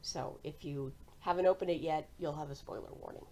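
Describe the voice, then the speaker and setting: A woman with an American accent talks in a casual, chatty vlog style. Her voice sits a little lower than average for a woman. She comes across as cheerful and conversational as she addresses her viewers. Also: a little fast, dark tone, a little hiss or hum. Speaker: cross-stitch vlogger and host.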